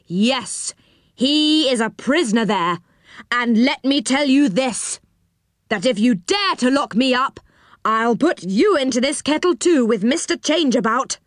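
A woman's voice: sits high, animated, a little slow, no room sound, clean studio sound, pitched above the performer's own voice. A woman voicing a bold, fearless male storybook adventurer who bluffs with a magician's authority. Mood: bold bluffing.

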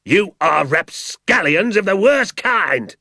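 A man voicing a blustering, pompous rich landowner who shouts and bullies, in a storybook reading. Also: deep voice, unhurried, slightly rough, no echo, clean studio sound, heavily acted. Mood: furious. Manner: shouting, indignant.

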